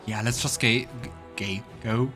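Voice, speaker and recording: A man's voice: lowish voice, steady tone, measured pace. A man giving casual let's play commentary and narrating scenes from a fantasy game. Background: game music.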